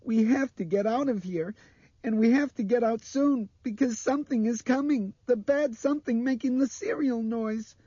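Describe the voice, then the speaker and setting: A man's voice: very high-pitched, normal speed, mellow, no room sound, highs cut off, voice pushed up. A man voicing a blind little girl in an audiobook, dreamy, trembling and insistent. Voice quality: breathy, trembling.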